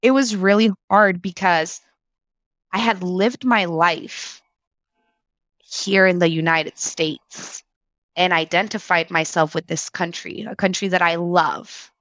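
Woman w a slight telling her life story, kinda low voice, dry close sound. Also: fairly even intonation, takes it slowly, fairly bright tone, no echo. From Mexico. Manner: reflective, mixes Spanish into English. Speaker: guest. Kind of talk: personal storytelling interview.

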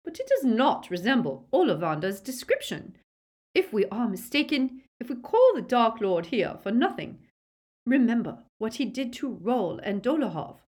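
A woman voicing a cold, cautious, sharp aristocratic mother in an audiobook, with a clear voice. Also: mid-range voice, very animated, slow, voice pushed up, heavily acted. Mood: cold, wary. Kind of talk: audiobook character acting.

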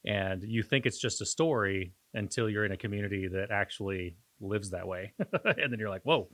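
A man co-hosting a podcast in casual, reflective conversation, pausing and searching for his words as he talks. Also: lowish voice, fairly bright tone. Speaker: podcast co-host.

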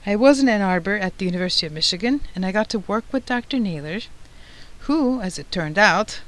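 A middle-aged woman from Turkey hosting a professional society's podcast, reading a prepared script in a measured, formal way. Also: mid-range voice, poor audio. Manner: measured, formal, read-aloud delivery. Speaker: podcast host, society president.

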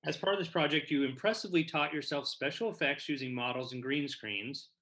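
Bright-voiced male interviewer. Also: a little high, brisk, low-quality recording. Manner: friendly, encouraging interviewer with brief affirmations. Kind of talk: casual interview.

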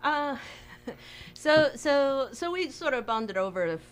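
A woman from Taiwan telling her story casually as an interview guest, halting and thinking aloud. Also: sits high, sing-song, clear, resonant tone. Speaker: interview guest, bakery co-owner.

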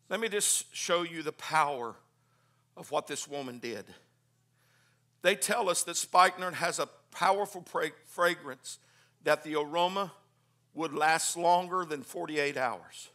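A man preaching a Pentecostal sermon, swinging from hushed, quick asides to shouted hallelujahs. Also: on the high side. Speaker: pastor. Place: church.

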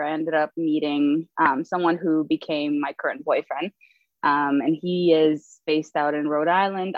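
A woman in her twenties, a guest author, telling her story in a relaxed way with frequent fillers and light laughs. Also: low-pitched, no echo. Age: 20s. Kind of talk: casual storytelling. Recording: dull, lo-fi dry audio.

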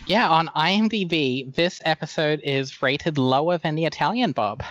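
A man's voice: fairly high pitch, moderate pace, a bit bright, no echo. A man talking casually as a guest on a review podcast.

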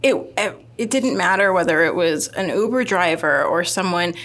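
A woman's voice: lowish voice, lively intonation, moderate pace, fairly bright tone. A woman chatting casually, laughing often and talking with many fillers. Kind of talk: casual chat.

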